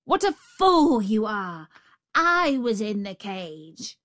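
A woman's voice: mid-range voice, sing-song, slow, fairly bright tone. A woman voicing a savage male tiger in a storybook, growling, bullying and domineering. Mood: scornful. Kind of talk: storybook character voice.

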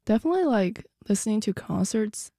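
A teenage girl being interviewed about her music, soft-spoken and giggly, with many "like" fillers. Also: medium pitch, big pitch swings, a little fast, no echo, studio quality. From United States. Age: teens. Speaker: guest musician. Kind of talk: casual interview.

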